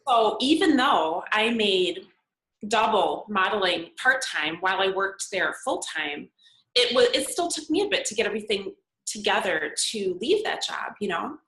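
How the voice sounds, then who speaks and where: A woman's voice: mid-range voice, sing-song, normal speed, fairly bright tone, reverberant, poor audio. A woman in her forties whose first language was Serbian, earnestly telling her life story as a podcast guest, with many fillers. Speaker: podcast guest, makeup artist and model. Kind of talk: conversational interview answers.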